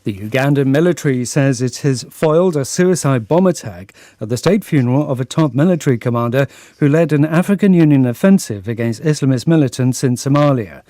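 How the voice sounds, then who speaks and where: A male newsreader with a dark, mellow tone and a middle pitch works through scripted headlines. His delivery is measured and formal. The sound is close and dry, with no room around it. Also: dry recording. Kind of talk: scripted news reading.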